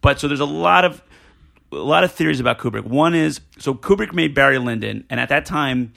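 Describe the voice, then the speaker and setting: Bright, gravelly man chatting about films. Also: medium pitch, animated, brisk. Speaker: podcast co-host. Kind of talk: casual film discussion.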